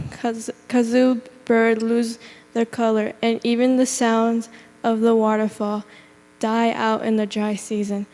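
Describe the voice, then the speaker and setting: A woman with a higher voice reads an opening prayer slowly and carefully, tripping on a word now and then. Her pitch stays flat and even. Long reverb from the church hall hangs behind her. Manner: slow, careful reading with small stumbles. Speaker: congregation member leading the opening prayer. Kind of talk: reading a prayer aloud. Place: church sanctuary.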